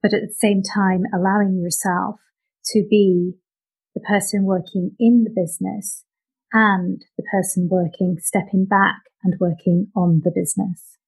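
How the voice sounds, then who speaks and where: A woman's voice: medium pitch, fairly even intonation, unhurried, vocal fry, darkish tone, breathy edge, low-quality recording. A woman hosting an interview, reflecting on the guest's points and thinking aloud with restarts. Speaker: podcast host. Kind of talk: conversational interview.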